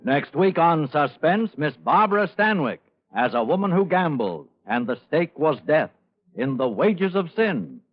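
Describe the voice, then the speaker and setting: A man's voice: on the high side, big pitch swings, measured pace. A man announcing a program in dramatic 1950s radio style. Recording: dull old radio broadcast, music behind.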